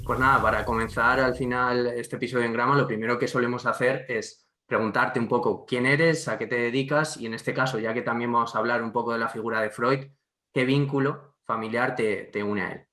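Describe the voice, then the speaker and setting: A man hosting a podcast interview, asking questions in a relaxed, conversational way with small restarts. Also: mid-range voice, fairly quick.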